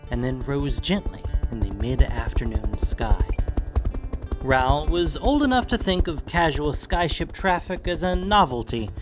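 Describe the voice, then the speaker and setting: Guy narrating an audiobook, normal pitch, bit of music behind.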